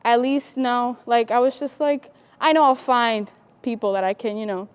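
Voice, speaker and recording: A young adult woman from the Dominican Republic, a student panelist, speaking in a soft, reflective, thinking-aloud way. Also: on the high side, fairly even intonation, normal speed, smooth. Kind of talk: casual panel conversation.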